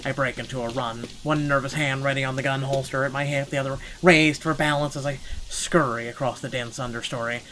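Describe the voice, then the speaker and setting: Expressive man narrating over clear background noise. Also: medium pitch, brisk, few pauses, rough recording. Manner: expressive, sweary commentary between read passages. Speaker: gaming video host reading a visual novel aloud. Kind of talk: dramatic first-person narration with blunt reaction asides.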